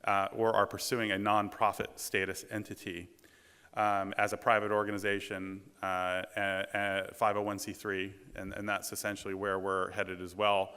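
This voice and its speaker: A man with a booming voice talks through a conference presentation, pausing often with fillers and restarts. His voice sounds rather low and clear, with a bright edge. The sound carries the long reverb of a big lecture hall. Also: completely flat delivery. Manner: halting, many ums and restarts. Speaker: conference speaker, task force president.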